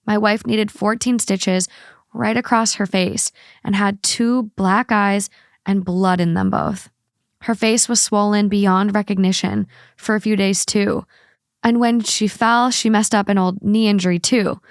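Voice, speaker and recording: A woman hosts a casual podcast, moving between chat and reading stories aloud. Her voice sits at an ordinary pitch for a woman. The recording is close and dry, with no room sound. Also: steady tone, a little slow, gravelly, no room sound. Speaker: podcast host.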